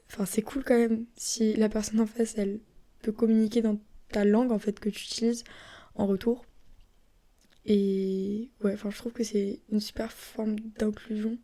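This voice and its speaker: A young woman from France chatting casually and rambling on her own podcast, often dropping into soft whispery asides. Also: mid-range voice. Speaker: podcast host. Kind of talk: casual solo podcast chat.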